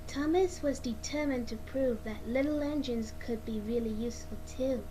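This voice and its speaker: A woman reading a children's storybook aloud as narrator, in a slightly halting, amateur way. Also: fairly high pitch, mellow. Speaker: read-along narrator. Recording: clearly noisy amateur recording.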